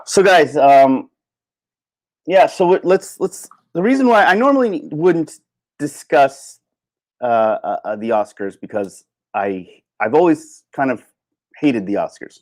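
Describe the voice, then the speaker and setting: A man hosting a solo livestream in casual chat, rambling and halting as he thinks aloud. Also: fairly high pitch, lively intonation. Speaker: livestream host.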